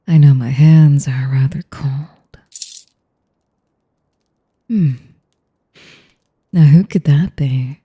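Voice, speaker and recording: A woman playing an elegant, manipulative vampire in an intimate audio roleplay, with a whispery voice and a slow, teasing, mock-polite manner. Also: low voice, warm tone, heavily acted. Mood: mock tenderness. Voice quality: breathy, whispery. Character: sadistic, elegant, manipulative female vampire noble.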